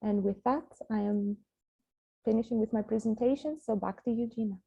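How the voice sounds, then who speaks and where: A Greek woman, a native Greek speaker, presenting her youth ambassador work on a webinar in a steady, prepared way. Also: a little high, moderate pace, gravelly, slightly rough, lo-fi sound. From Greece. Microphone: video call.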